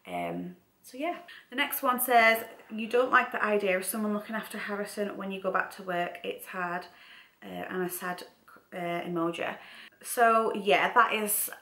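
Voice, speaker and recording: A young woman with a bright, forward voice and a Mancunian accent that has picked up some Scouse. She talks in a casual, chatty vlog style, circling back over her points. The sound is roomy, with noticeable echo from an indoor space. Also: medium pitch, sing-song, normal speed. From Manchester, England. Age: young adult. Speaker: vlogger. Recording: roomy, echoey home room.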